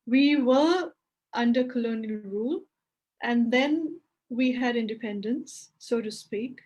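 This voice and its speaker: A woman from India, speaking as a panelist in a measured, articulate way. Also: a little high, poor audio. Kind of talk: panel discussion.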